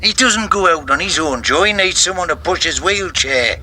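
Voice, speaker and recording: A man playing a gruff, protective, reproachful uncle in a naturalistic radio drama. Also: high-pitched, lively intonation, moderate pace, pushed and bright, heavily acted. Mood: accusing, worried. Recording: noisy location ambience.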